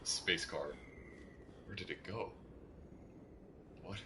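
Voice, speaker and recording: A man's voice: deep voice, slow pace, noisy background. A man casually commentating live gameplay as a streamer, playful and thinking aloud. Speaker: game streamer. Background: video game music.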